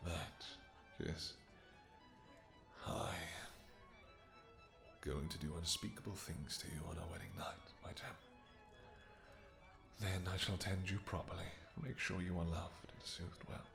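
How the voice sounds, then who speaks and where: Man playing a deep, gravelly, possessive vampire king. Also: steady tone, a little slow, long pauses, music in the background. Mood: amorous, low. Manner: formal archaic speech, intimate and theatrical. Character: doting, possessive male vampire king, regal and brooding. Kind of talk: romantic fantasy audio roleplay.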